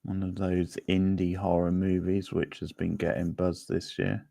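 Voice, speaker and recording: A man hosting a livestream quiz, chatting casually in an unhurried, rambling way as he replies to viewers. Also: low-pitched, monotone, creaky, mellow, dry recording.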